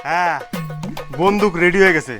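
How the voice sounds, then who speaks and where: Scrappy, vengeful prankster played by a man, high voice, rural Bengali dialect, coarse taunts, comedy skit over prominent music. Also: fairly quick, close to an everyday voice. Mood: eager. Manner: taunting, coarse street talk. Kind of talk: slapstick comedy skit acting. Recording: music behind the voice.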